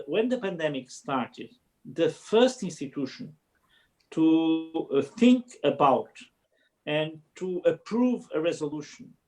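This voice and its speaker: A man's voice: fairly high pitch, a little mellow. A man with a Portuguese accent, a native Portuguese speaker, giving a speech on a video call, hesitant, with many fillers and restarts. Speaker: panel speaker, politician.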